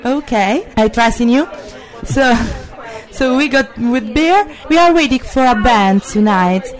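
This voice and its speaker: An Italian woman hosting a travel vlog, chatty and lively, halting and careful when she switches to English with an Italian accent. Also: on the high side, a faint crowd. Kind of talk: casual on-the-street presenting.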